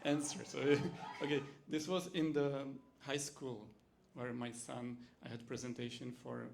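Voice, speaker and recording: A man with a Czech accent gives a lecture demonstration, his voice gravelly and low-edged with fry. He speaks at a middle pitch, stopping and restarting often as he works through English, and a faint hiss sits under the recording. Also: level tone, darkish tone. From Czech Republic. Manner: halting, many fillers and restarts in second-language English. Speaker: university lecturer. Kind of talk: teaching demonstration talk.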